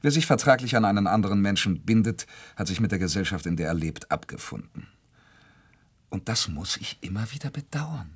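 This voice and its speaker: An actor playing a suave, secretive young Russian count in a German radio play, florid and condescendingly jovial. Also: male voice, on the low side, animated, fairly quick, husky. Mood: jovial, worldly.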